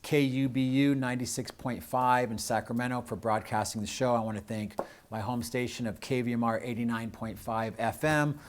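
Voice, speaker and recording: An American man speaking as host of a community show, addressing his audience off the cuff in an earnest, halting way with drawn-out pauses. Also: medium pitch, steady tone. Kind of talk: unscripted closing address to audience with thanks.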